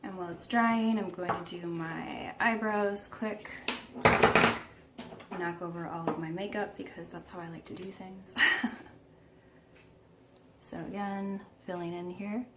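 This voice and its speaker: Croaky low-voiced woman vlogger. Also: steady tone, unhurried, long pauses, heavy background noise. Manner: chatty, self-deprecating, laughs at herself. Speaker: beauty vlogger. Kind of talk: casual makeup tutorial.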